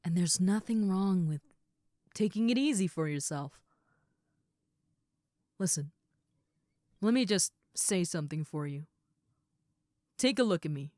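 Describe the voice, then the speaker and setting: A woman playing a big, caring female ogre roommate in an intimate comfort roleplay, speaking in a soothing, earnest way with pauses and soft moments. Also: on the low side, animated, dry recording, studio quality. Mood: reassuring, then earnest, encouraging. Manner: soothing, earnest, hushed at times, with pauses and sighs. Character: gentle, caring seven-foot-six muscular female ogre roommate.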